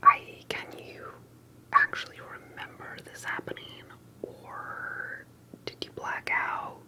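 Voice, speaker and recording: Someone whispering softly in an ASMR roleplay as an earnest, cautious researcher interviewing with deliberate, halting questions. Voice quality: soft breathy whisper. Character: earnest, cautious alien abduction researcher and survivor. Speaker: ASMR performer playing an interviewer.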